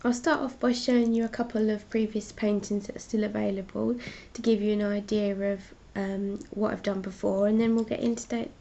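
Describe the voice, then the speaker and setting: A woman's voice: medium pitch, little pitch movement, fairly quick, vocal fry, few pauses, mellow, dull audio. A woman artist with a cold and a stuffy voice, chatting casually as she hosts her own live stream and explains her paintings. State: congested with a cold. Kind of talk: casual art chat, showing paintings.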